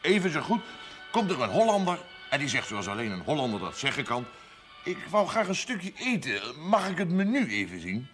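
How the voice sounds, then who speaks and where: An actor playing a wry, self-made village farmer in a television period drama, talking in a droll, folksy, deliberate way. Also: male voice, a little high, sing-song, a bit bright, faint music behind.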